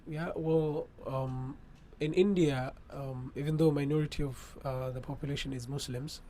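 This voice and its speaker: A man's voice: a little high, fairly even intonation, moderate pace, dark tone. A young man from Ethiopia answering interview questions as a student guest, choosing his words carefully and hesitantly. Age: young adult. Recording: clearly noisy background ambience.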